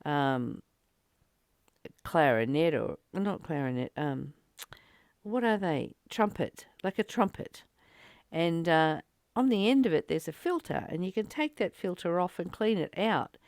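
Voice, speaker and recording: Clear-voiced woman in spiritual discussion. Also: on the low side, expressive, unhurried, a little mellow, no echo, clean studio sound. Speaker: psychic healer leading a spiritual book study. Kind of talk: casual spiritual discussion with some read-aloud passages.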